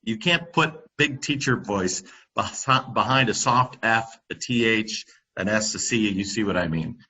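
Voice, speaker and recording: A middle-aged man giving a presentation over a computer microphone, halting often with ums and restarts. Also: lowish voice, bright, forceful tone, slightly rough. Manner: halting, many fillers and restarts. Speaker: company presenter on classroom audio. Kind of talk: webinar presentation.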